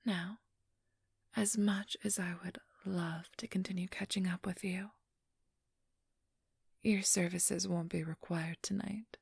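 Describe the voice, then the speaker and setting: A woman acts out an ageless female vampire thief in an intimate ASMR role-play. Her very husky, breathy whisper crackles with fry and moves slowly, haughty and teasing, right up at a dry microphone. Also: lowish voice, dry recording, exaggerated voice. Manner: condescending, teasing, drawn-out delivery. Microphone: close, dry microphone.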